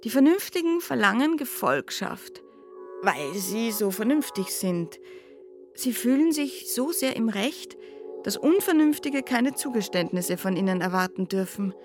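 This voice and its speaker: An actress playing a measured, philosophical commentator in a radio play, speaking deliberately and formally. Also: female voice, on the high side, very animated, faint music behind. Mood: reflective. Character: measured, reflective female commentator with long professional experience. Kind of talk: essayistic monologue in a radio play.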